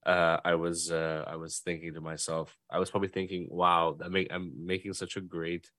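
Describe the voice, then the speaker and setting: A man co-hosting a podcast, bantering casually with a joking, deadpan manner. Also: deep voice, normal speed, dry recording, studio quality. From Canada. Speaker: podcast co-host.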